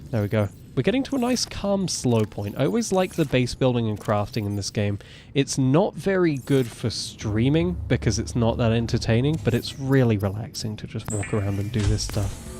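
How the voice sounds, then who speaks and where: Young man, deep voice, rambling gameplay chat, clear music playing behind. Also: animated, moderate pace, warm tone. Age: 20s. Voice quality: naturally deep voice. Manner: chatty, rambling, self-correcting. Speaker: gaming streamer. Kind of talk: casual live gameplay commentary.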